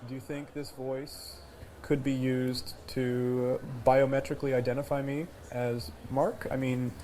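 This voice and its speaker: A synthetic cloned man's voice, smooth and over-articulated, sounding self-satisfied. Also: mid-range voice, flat delivery, measured pace, dark tone, a little hiss or hum, lightly acted. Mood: smug. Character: AI clone of a man's voice, smug and polished.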